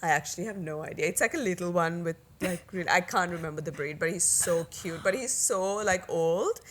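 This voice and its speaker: A woman co-hosting a podcast in casual chat, with a loud, expressive voice; she gets excited, laughs often and drifts onto tangents. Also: on the low side, a little fast. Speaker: podcast co-host.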